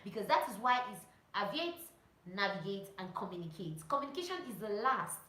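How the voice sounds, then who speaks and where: A woman giving casual, animated instructional vlog talk, telling anecdotes and repeating phrases for emphasis. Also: mid-range voice, brisk. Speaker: vlogger and pilot sharing training tips. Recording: roomy echo.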